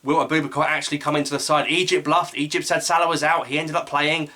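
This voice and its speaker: A man hosting his own football channel, giving a solo match preview as an eager fan, with quick asides and self-corrections. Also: fairly high pitch, fairly even intonation, pushed and bright.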